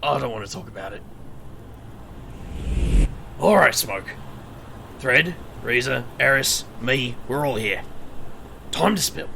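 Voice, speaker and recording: A man's voice: medium pitch, animated, slow pace, vocal fry, long pauses, bright, forceful tone, slight background noise, heavily acted. A man playing an anxious, caring, easily exasperated young man in an audio drama. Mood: brisk.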